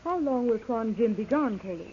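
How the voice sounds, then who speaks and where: A woman plays a spirited, anxious young missionary's daughter in an old radio serial. Her high voice is earnest and questioning. The sound is thin and phone-like, with faint noise. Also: expressive, moderate pace, warm tone, natural voice. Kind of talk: 1930s radio serial acting. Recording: tinny, noisy old radio recording.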